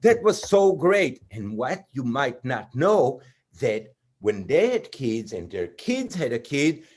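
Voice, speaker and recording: A man telling stories to children as a puppet show host, expressive, with simple repeated phrasing. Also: medium pitch, slow pace. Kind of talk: children's storytelling.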